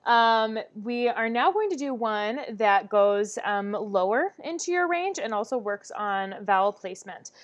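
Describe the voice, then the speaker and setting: A woman voice teacher leading a vocal warm-up lesson, talking with many "um"s and demonstrating exercises. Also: on the high side, animated, measured pace, few pauses, highs cut off.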